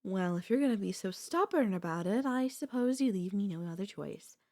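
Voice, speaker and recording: A woman voicing an obsessive yandere sister-in-law in an ASMR audio roleplay, sweetly menacing, coaxing and chatty, calmly unapologetic. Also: medium pitch, moderate pace, lightly acted. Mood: coolly amused.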